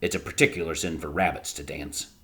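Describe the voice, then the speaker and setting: A man's voice: a bass voice, steady tone, fairly bright tone, voice pushed down. Man doing a stern, solemn stranger. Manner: stern, slow.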